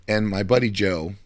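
A middle-aged man with a deep voice co-hosting a podcast, chatting casually and rambling a little, repeating words as he tells his stories. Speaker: podcast co-host. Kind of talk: casual chat.

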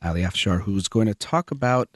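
A man hosting a radio interview show as a psychologist, brisk and attentive, giving frequent short backchannels. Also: lowish voice, lively intonation, dry recording, clean studio sound.

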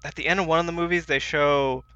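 A man's voice: a little high, steady tone, quick pace, bright, forceful tone, clear, resonant tone, no room sound. A man co-hosting a podcast in casual, slangy, informal chat, on a slightly dull recording. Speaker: podcast co-host. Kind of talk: casual chat about pop culture.

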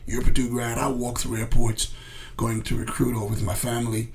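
A man's voice: medium pitch, completely flat delivery, fairly quick, darkish tone. A man who grew up in the Bahamas, a coach telling stories casually in an interview, emphatic and often repeating his words. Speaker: college coach being interviewed. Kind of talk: casual conversational storytelling.

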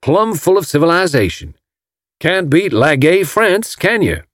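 A man voicing a folksy, lovestruck rich gentleman from Alabama with a drawling Southern American accent, in an audiobook. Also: on the high side, sing-song, unhurried, no echo, clean studio sound, pitched above the performer's own voice. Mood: reverent, smitten.

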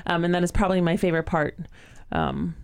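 Friendly woman host, smooth clear voice, playful and chatty. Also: lowish voice, quick pace. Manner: friendly, playful, says "like" and "um" often. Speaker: podcast host. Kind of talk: casual interview chat.

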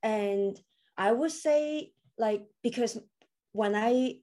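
A woman's voice: on the high side, takes it slowly, dark tone. Chatty woman from Hong Kong. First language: Cantonese. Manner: chatty storytelling with non-native grammar and many fillers. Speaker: podcast guest, crypto coach. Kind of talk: casual interview chat.

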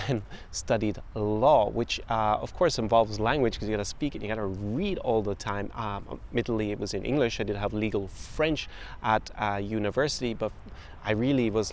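Low-voiced man with a faded Irish accent. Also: a little hiss or hum. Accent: mixed, faded Irish with international influences. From Ireland. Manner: conversational, with frequent fillers and self-corrections. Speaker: podcast host. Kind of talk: casual talk to camera. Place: outdoors in a city street.

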